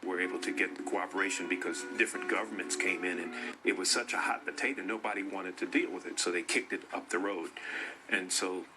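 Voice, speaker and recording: A man's voice: deep voice, fairly even intonation, brisk, background noise. A man giving a conversational account in an interview. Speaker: community member being interviewed. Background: music.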